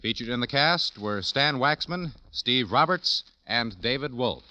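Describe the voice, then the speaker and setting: A man's voice: medium pitch, lively intonation, measured pace, poor audio. An American man working as a radio announcer, reading scripted credits and promos in a polished mid-century broadcast style.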